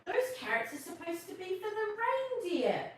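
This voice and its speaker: A woman's voice: extremely high pitch, sing-song, normal speed, very echoey, distant mic, a little hiss or hum, pitched above the performer's own voice. A woman voicing a polite, earnest, well-mannered little girl in a storybook reading. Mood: apologetic, chiding.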